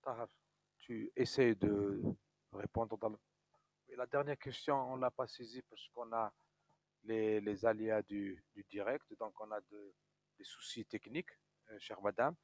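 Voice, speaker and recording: A man's voice: on the low side, steady tone, a little slow. A man on a thesis jury, moderating the defense and urging the candidate on in an informal, encouraging way. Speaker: thesis jury member chairing. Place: university lecture hall.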